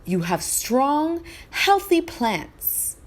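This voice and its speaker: Woman playing a storybook king, solemn, commanding, unhurried, dramatic swings in pitch. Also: sing-song, full character voice. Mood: proclaiming. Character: solemn, commanding male king, fair and hearty. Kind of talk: storybook read-aloud.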